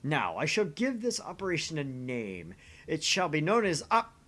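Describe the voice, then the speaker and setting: A man's voice: on the high side, wildly swooping pitch, normal speed, sharp tone, pitched above the performer's own voice, heavily acted. Man acting as bombastic, swooping young mad scientist. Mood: grandiose. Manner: bombastic, grandiose proclamations. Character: pompous, theatrical young self-proclaimed mad scientist. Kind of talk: amateur visual novel voice acting.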